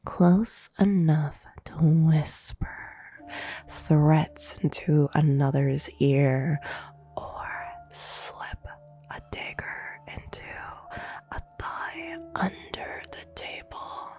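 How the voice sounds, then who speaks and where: A woman playing a haughty demon duchess in an ASMR roleplay, speaking formally with teasing menace and often dropping into a breathy whisper, close on a binaural mic. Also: deep voice, full character voice. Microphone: close binaural microphone. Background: soft piano music.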